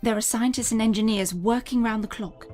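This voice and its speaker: A woman acts as an alien mother in a sci-fi audio drama, her voice a little high. She explains things gravely and deliberately, earnest and guarded, with soft music underneath. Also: natural voice. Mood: urgent warning.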